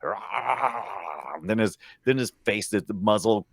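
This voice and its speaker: A man's voice: on the low side, expressive, slow pace, husky, no room sound. A man chatting casually about films as a podcast guest, telling it expressively with little acted asides. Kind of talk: casual movie discussion.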